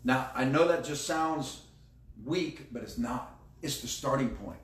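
A man's voice: medium pitch, measured pace. A middle-aged American man preaching a conversational sermon as a pastor, emphatic and repeating key phrases. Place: indoor room beside a screen. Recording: roomy echo, distant microphone.

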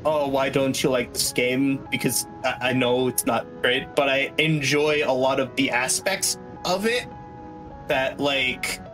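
A man's voice: medium pitch, fairly even intonation, unhurried, low-quality recording. A man giving casual, rambling, wry commentary as the runner in a video game speedrun. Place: small room at home, indoors. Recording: game music behind the voice. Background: video game soundtrack music.

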